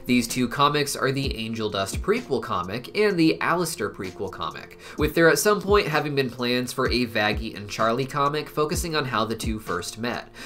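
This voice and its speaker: A man's voice: mid-range voice, fairly bright tone. A man hosting an explainer video, reading scripted narration in long, flowing explanatory sentences. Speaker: host of a cartoon explainer video channel.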